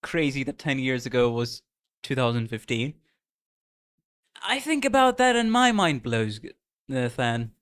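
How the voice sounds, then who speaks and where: An excitable male streamer with a light Indian accent softened by American and British influences. He talks in a middle register. He chats casually with his viewers, calling out their names as they arrive. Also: medium pitch, unhurried, pauses often, clear, resonant tone. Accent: Indian, blended with American and British. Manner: excitable, chatty, greets viewers by name. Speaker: streamer reacting to game music. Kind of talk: casual live-stream chat.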